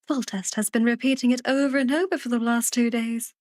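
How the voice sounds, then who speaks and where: Woman voicing a fussy, higher-pitched old man. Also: fairly quick, no room sound, clean studio sound, lightly acted. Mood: fussy, animated. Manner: rambling, animated, fussing. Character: garrulous old man, fussy, self-deprecating visitor at a bedside.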